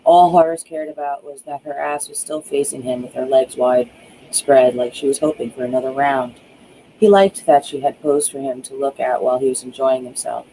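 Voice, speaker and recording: An author reading their own horror thriller aloud as dramatic narration, drawing out key words with hushed emphasis. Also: medium pitch, steady tone, normal speed, a little hiss or hum, rough recording.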